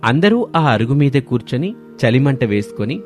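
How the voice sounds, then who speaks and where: A man's voice: medium pitch, big pitch swings, moderate pace. A man reading scripted narration for a moral folk story. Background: music.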